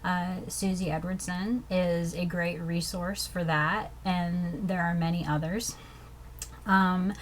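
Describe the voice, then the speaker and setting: A young woman from the States, teaching language phrases slowly and repeating each one before translating it. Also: on the low side, a little hiss or hum. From southern United States. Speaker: language learner teaching vocabulary. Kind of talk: slow, careful language lesson with repeated phrases.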